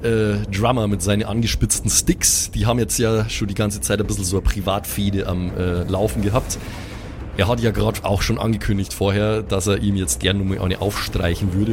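A man's voice: on the low side, background noise. A man with a Bavarian accent improvising colloquial, vivid narration as the game master of a tabletop game.

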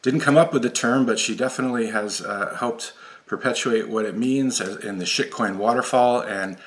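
A man's voice: mid-range voice, normal speed, rough recording. A man hosting a podcast, talking earnestly and enthusiastically, with frequent ums and uhs. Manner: earnest, enthusiastic, filler-heavy. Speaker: podcast host. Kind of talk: casual podcast interview.

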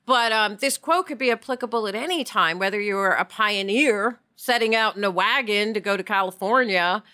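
A woman talking casually and reflectively as a podcast co-host, with an animated, opinionated and emphatic manner. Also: mid-range voice, moderate pace.

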